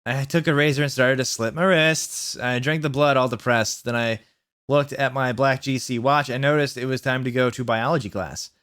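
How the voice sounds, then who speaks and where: Male host, smooth clean voice, comic story narration with sarcastic, mock-earnest commentary. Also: medium pitch, fairly even intonation, normal speed, a bit bright, no room sound, clean studio sound. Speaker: host reading fan fiction aloud. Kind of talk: comic dramatic reading with asides.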